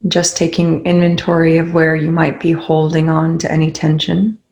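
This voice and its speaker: A meditation leader guiding listeners in a soothing, unhurried way, with pauses between short instructions. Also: mid-range voice, monotone, creaky, a little mellow. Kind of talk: guided meditation.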